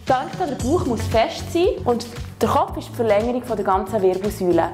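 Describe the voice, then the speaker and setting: A woman's voice: a little high, lively intonation, fairly quick, over music. A woman with a Swiss accent who patiently talks a learner through stretching and back exercises. She often tags her sentences with a questioning "oder?". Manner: patient, encouraging, ends phrases with "oder?". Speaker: fitness instructor. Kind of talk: guiding exercises step by step.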